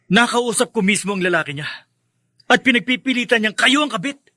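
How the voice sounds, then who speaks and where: A man's voice: very high-pitched, expressive, brisk, bright, forceful tone, no room sound, clean studio sound, exaggerated voice. A man playing a defiant young son in a Filipino radio drama, insistent and biting as he guards family honor. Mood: indignant, defiant.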